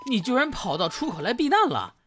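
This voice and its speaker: A man's voice: very high voice, huge pitch swings, a little fast, bright, forceful tone, exaggerated voice. A man playing a defiant, grumbling delinquent teenage boy in a Chinese radio drama.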